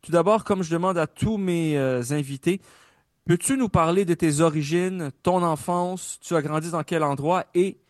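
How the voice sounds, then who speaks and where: A man hosts a radio interview, his voice a little high and close to the mic. The sound is dry and free of room echo. He talks informally and drops in fillers. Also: moderate pace. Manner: informal, with frequent "euh" fillers.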